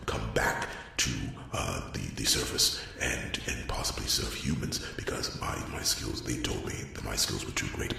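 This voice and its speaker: A man playing a chatty, bashful dwarf blacksmith in an ASMR roleplay, rambling and stammering as he corrects himself in a gravelly voice. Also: heavily acted. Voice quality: very deep, gravelly. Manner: rambling, stammering, flustered repetitions and self-corrections. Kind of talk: ASMR roleplay character monologue. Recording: very echoey room, distant, faint noise.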